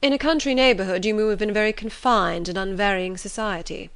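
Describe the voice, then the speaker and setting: A woman voicing a reserved, haughty young gentleman in a storybook, formal and measured. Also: mid-range voice, clear, resonant tone, natural voice. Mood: aloof.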